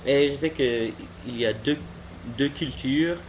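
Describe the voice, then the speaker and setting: A young American man, a native English speaker, giving an informal opinion monologue haltingly, searching for words. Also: medium pitch, noisy background. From United States. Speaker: video blogger.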